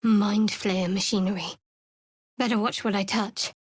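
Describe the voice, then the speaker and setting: A woman's voice: mid-range voice, fairly even intonation, measured pace, husky, no echo. An actress voicing a guarded, sardonic young woman cleric in a fantasy video game, with a dry, wry and reserved delivery. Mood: wary, curious. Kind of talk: video game character voice acting.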